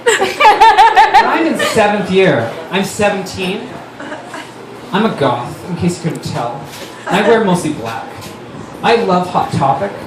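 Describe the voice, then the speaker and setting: A man's voice: high voice, very animated, slow pace, long pauses, voice pushed up, full character voice. Vain, gloomy teen goth girl narrator, acted by a man, melodramatic fan fiction reading, echoey distant live sound. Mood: proud, sullen. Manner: self-absorbed, melodramatic, listing details. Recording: noisy, echoey live room recorded from far off.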